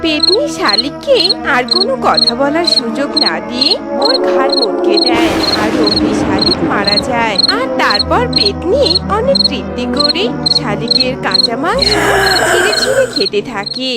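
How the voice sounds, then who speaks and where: A woman's voice: high-pitched, big pitch swings, normal speed. A woman narrating a scripted children's story in a dramatic style. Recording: loud music bed behind.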